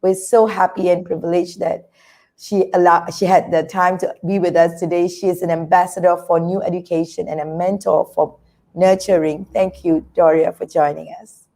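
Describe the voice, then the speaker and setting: A woman hosting an interview, introducing her guest and asking questions in a warm, encouraging way. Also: lowish voice, little pitch movement, moderate pace. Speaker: interview host.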